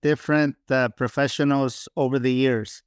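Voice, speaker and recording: A man's voice: medium pitch, fairly even intonation, normal speed, smooth, dry recording, studio quality. A man hosting a podcast who introduces and interviews guests in a friendly way, adding Spanish phrases and some "uh" fillers. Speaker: podcast host.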